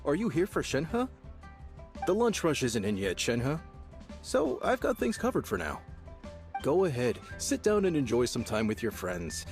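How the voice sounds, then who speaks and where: A cheerful young chef. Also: male voice, mid-range voice, wildly swooping pitch, a little slow, faint music behind. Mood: welcoming. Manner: easygoing and welcoming. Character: friendly young male restaurant chef. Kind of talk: video game voice acting.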